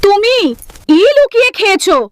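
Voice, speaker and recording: A woman's voice: extremely high pitch, moderate pace, noisy background. A woman playing an accusing, sceptical wife in a comic cartoon, scolding and exclaiming.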